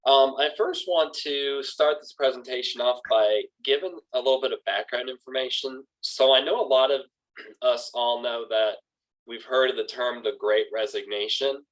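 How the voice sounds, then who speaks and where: A man's voice: mid-range voice, moderate pace, low-quality recording. A man giving a class presentation over a video call. He sounds nasal from a small cold and hesitates often with ums as he starts his talk. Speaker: student presenter.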